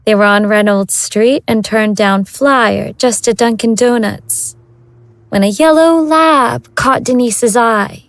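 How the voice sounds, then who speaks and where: A woman's voice: fairly high pitch, slow, dry recording. A woman narrating a scripted audio drama as its storyteller.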